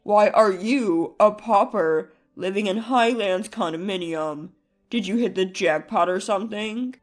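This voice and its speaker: A woman's voice: mid-range voice, unhurried, soft background music, voice pushed down, lightly acted. A woman voicing a scornful, hot-tempered man in a narrated family drama.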